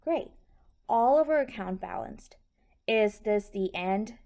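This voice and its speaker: Slow-talking woman teaching step by step. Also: medium pitch, lively intonation, mellow. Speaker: accounting instructor. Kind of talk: step-by-step tutorial explanation.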